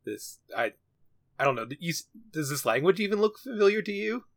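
A man's voice: sits high, sing-song, fairly quick, dry recording, studio quality. A man improvising casually as a tabletop game player, tentative and thinking aloud. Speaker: guest player.

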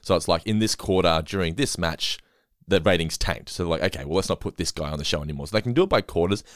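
A man hosting a casual podcast chat, talking quickly and laughing often. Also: sits low, no echo, studio quality. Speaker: podcast host.

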